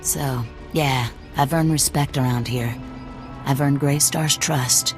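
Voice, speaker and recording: An actress playing a suspicious, bitter, confrontational rebel militant in a video game. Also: female voice, very deep voice, steady tone, takes it slowly, music in the background. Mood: bitter, hard. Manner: confrontational, scornful. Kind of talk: scripted video game dialogue.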